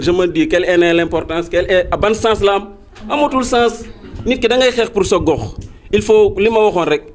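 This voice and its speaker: A man's voice: fairly high pitch, darkish tone. A man with a Senegalese accent making an impassioned statement to the local press, mixing Wolof and French and repeating his points. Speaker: protesting villager.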